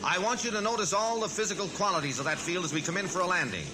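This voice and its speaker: An actor playing an earnest, businesslike insurance adjuster in a 1940s radio drama, speaking in a deliberate, insistent way. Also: male voice, high voice, natural voice. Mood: brisk, instructive. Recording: noisy vintage 1940s radio recording.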